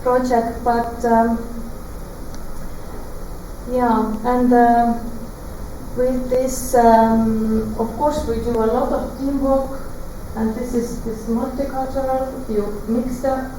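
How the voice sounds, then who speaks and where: A woman with an Estonian accent, a native Estonian speaker, answering interview questions in English, halting and searching for words with many fillers. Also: a little high, little pitch movement, dark tone. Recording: echoey room, distant mic, background noise.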